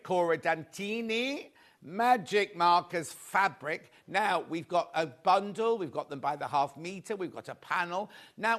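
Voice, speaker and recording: A man presenting a live shopping show, rambling through a chatty sales patter with a slightly croaky voice. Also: high-pitched, fairly bright tone.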